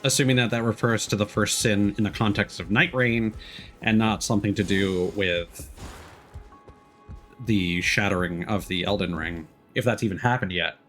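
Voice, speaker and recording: A man streaming a video game, thinking out loud in casual commentary and sometimes reading game text, with game music behind. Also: fairly low pitch, steady tone, normal speed. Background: faint game music.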